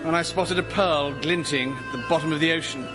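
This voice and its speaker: A man's voice: on the high side, a little fast, music in the background, natural voice. An actor playing a timid, genteel, long-suffering man in a radio sitcom, well-spoken, hesitant and fussy. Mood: aggrieved. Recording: very noisy studio comedy recording.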